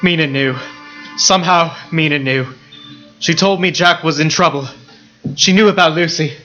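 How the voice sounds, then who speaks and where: A man's voice: a little high, moderate pace, pushed and bright, soft background music. An actor playing an earnest, worried man in a radio play, sober and clipped. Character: earnest, worried male member of vampire-hunting party. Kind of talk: stage radio play acting. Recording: dull lo-fi live play recording.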